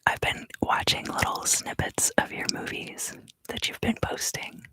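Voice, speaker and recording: A woman giving an ASMR card reading for a live stream in a soft, unhurried, often fully whispered voice, close to the microphone. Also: no room sound. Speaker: ASMR tarot reader and live stream host.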